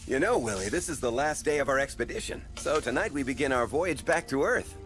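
An actor playing a friendly explorer father on a spaceship in an animated film, with an encouraging, instructive manner. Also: medium pitch, brisk, natural voice. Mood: warm, upbeat. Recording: music behind the voice.

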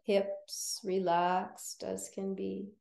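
A woman teaching yoga nidra, guiding relaxation in a gentle, soothing, unhurried manner with long pauses. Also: medium pitch, completely flat delivery, creaky, echoey room. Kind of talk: guided relaxation meditation.

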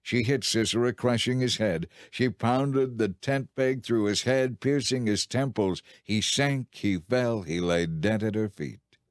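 A man reading as an audiobook narrator in a dramatized, solemn and measured scripted Bible narration. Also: medium pitch, no echo, studio quality.

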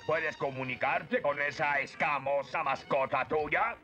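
A man's voice: a little high, fairly even intonation, measured pace, bright, forceful tone. A man with a Latin American accent voicing a bossy, short-tempered skeletal villain sorcerer in a cartoon dub, commanding and insulting. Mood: scheming. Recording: muffled old cartoon dub, music behind.

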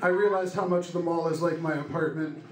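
A young man doing deadpan stand-up comedy in short one-liners into a handheld microphone on a club stage. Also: fairly high pitch, brisk. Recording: echoey club room with loud noise. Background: loud noise, audience laughter.

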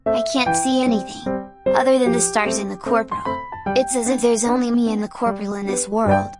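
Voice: mid-range voice, steady tone, brisk, vocal fry, natural voice. A performer voicing a shy, earnest teenage boy recruit in an amateur comic dub, hesitant, polite and apologetic. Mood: admiring, wistful. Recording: loud music behind the voice.

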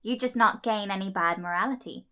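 A woman's voice: medium pitch, a little fast, pushed and bright, natural voice. A woman playing a helpful, earnest angel of good in a radio drama, explaining things matter-of-factly. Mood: earnest, explanatory.

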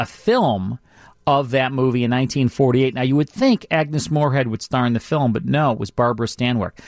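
A man's voice: mid-range voice, no room sound, clean studio sound. A man hosting a radio show, chatting casually and enthusiastically as he explains classic shows.

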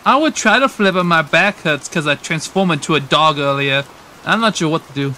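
Deadpan, grumpy detective, fairly high-voiced man acting. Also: fairly quick. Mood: grumbling. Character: grumpy, muddled, deadpan detective who turns into animal. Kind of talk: comedic amateur game redub. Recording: noisy, with rain behind.

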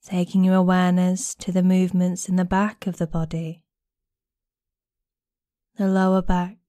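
Soft soothing lady doing guided meditation, lowish mellow voice, really unhurried. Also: completely flat delivery, dry recording. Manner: gentle, soothing, unhurried with long pauses. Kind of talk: guided body scan meditation narration.

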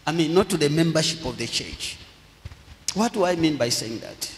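A middle-aged man from Zambia, preaching a sermon slowly and deliberately into a handheld microphone, leading the congregation in prompts. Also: a little high, animated, a little hiss or hum. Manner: slow, deliberate preaching with call-and-response prompts. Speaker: pastor. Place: large church hall with congregation. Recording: long hall reverb.

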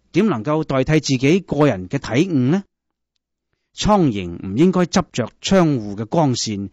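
A man with a Hong Kong Cantonese accent narrating scripted Buddhist stories in a measured, instructive way. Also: medium pitch, darkish tone, no echo, dull audio.

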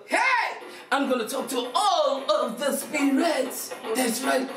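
A woman's voice: high voice, animated, takes it slowly. A woman playing a brash, money-hungry Haitian TV psychic who sells her hotline in a bossy, theatrical, insistent way. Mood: showy salesmanship. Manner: theatrical, bossy, insistent, repeats phrases for emphasis. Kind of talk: comedy skit of infomercial and call-in psychic show. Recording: echoey room, distant mic, music behind.

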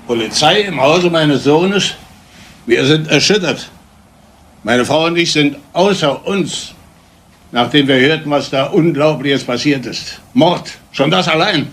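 A man's voice: fairly high pitch, a bit bright, close to an everyday voice. An actor playing an older, respectability-obsessed father in a radio crime drama, speaking in a pompous, indignant, declamatory way. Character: outraged, respectability-obsessed father of a grown son.

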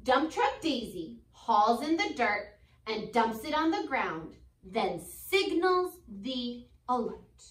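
High, very animated young American woman teacher. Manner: animated, expressive, deliberate, questioning young listeners. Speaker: elementary school teacher. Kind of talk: children's picture book read-aloud with chatty asides. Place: school classroom, indoors. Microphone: phone camera a few feet away. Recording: roomy classroom, mic at a distance.

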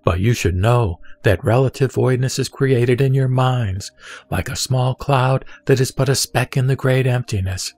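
A man reading the words of the Buddha as a calm, wise teacher, in a measured and solemn scripted recitation. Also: medium pitch.

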